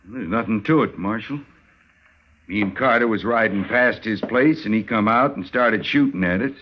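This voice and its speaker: An actor playing a hard, vengeful cowhand with a rural American accent in a radio western, blunt and surly. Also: male voice, lowish voice, normal speed, lightly acted. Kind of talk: old-time radio western acting. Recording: dull old radio recording.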